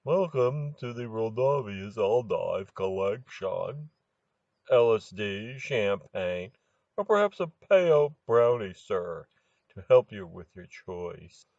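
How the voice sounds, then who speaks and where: A man voicing a stuffy, condescending high-class butler in a storybook tale, speaking in a pompous, formal manner. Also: expressive, slow pace, creaky, darkish tone, no room sound, muffled recording, heavily acted. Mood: formally welcoming. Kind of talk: storybook character voice.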